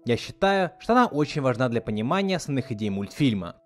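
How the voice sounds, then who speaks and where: A man hosts a scripted video essay. His mid-pitched voice moves up and down in a lively way as he narrates, with soft music underneath. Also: quick pace. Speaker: video host.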